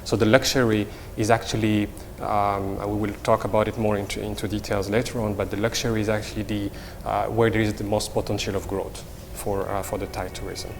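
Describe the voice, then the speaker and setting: A middle-aged man with a Moroccan accent explains things in a semi-formal interview as a company executive, haltingly and with repeated words. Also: fairly low pitch, flat delivery, creaky. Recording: reverberant hall, faint background noise.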